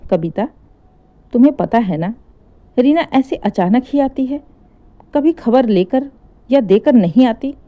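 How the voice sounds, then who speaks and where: A woman voicing a calm, reassuring husband who speaks gently to his wife in a family story. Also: on the high side, a little slow, long pauses, highs cut off.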